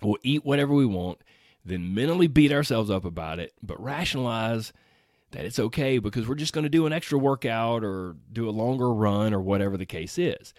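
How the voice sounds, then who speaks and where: A man hosting his podcast alone, his voice hoarse after being sick, talking in an upbeat, earnest way with frequent exclamations. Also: medium pitch, a little fast, darkish tone, no echo, studio quality. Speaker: podcast host. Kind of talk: solo podcast talk.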